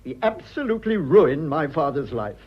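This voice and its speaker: Prim English gentleman, upper-class accent, highish husky voice, animated, fussy, muffled radio drama recording. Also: moderate pace. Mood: anxious, aggrieved. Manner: prim, fussy, flustered, earnest. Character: stiff, fussy upper-class English son, anxiously proper. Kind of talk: stage comedy of manners radio acting.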